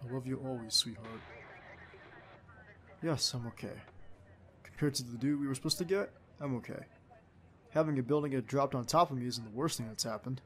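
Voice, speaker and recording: A man playing a tender, protective young secret agent boyfriend in an ASMR roleplay, speaking in a soft, soothing, intimate way. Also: mid-range voice. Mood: gentle, caring, then reassuring. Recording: faint background ambience noise.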